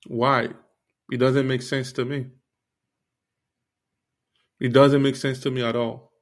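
A man born in Haiti speaks as the host of his own faith podcast. He talks straight to his listeners in an earnest, preachy way, full of "you know" and "like". His voice sits at an ordinary middle pitch. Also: flat delivery, slow. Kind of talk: casual faith podcast monologue.

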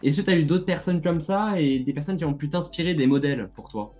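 A man's voice: fairly high pitch, a little fast, a little mellow, soft background music. A man hosting a podcast interview, asking long rambling questions with many hesitations. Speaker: podcast host, mental coach.

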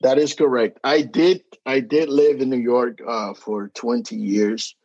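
Dominican-accented man, halting and hesitant. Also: medium pitch, fairly even intonation, a little mellow, no echo. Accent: Dominican Spanish. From Dominican Republic. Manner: halting, many fillers and restarts. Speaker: podcast guest. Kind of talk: casual interview chat. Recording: dry, dull lo-fi sound.

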